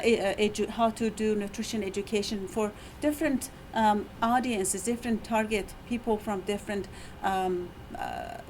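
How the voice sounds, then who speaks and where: Iranian-accented woman speaking haltingly. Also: medium pitch, a little hiss or hum, low-quality recording. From Iran. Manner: hesitant, many fillers and restarts, laughs often. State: tired voice after lecturing. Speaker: university lecturer. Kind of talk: faculty talk. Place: university meeting room.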